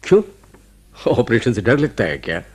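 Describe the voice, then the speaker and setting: Jovial, very expressive husky doctor. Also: male voice, mid-range voice, normal speed. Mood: reassuring. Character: genial, reassuring hospital doctor, chatty and avuncular. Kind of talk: Hindi radio drama acting.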